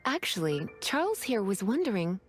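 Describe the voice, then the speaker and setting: A woman's voice: medium pitch, very animated, moderate pace, faint music behind, lightly acted. A woman playing a languid, sweetly teasing lady in a video game. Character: languid, teasing, motherly-flirty librarian lady.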